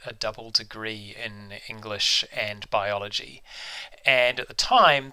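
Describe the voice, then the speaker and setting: Middle-aged New Zealand-accented man, bright smooth voice, relaxed self-deprecating guest. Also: mid-range voice. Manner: self-deprecating, chatty, frequent ums and restarts. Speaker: biology professor, guest. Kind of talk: casual interview, storytelling.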